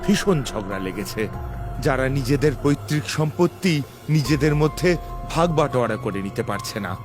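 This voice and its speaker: A man's voice: mid-range voice, lively intonation, moderate pace. A man narrating a scripted fairy tale as a dramatic storyteller. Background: music.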